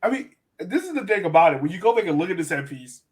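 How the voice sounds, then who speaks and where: A man's voice: sits high, big pitch swings, quick pace. A man hosting a sports podcast, giving casual, opinionated match analysis in a conversational way.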